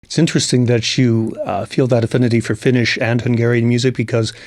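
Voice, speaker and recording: A man works as an interviewer and asks his questions at an even, measured pace. His voice sits at a middle pitch for a man, and the studio sound is close and dry. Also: no room sound.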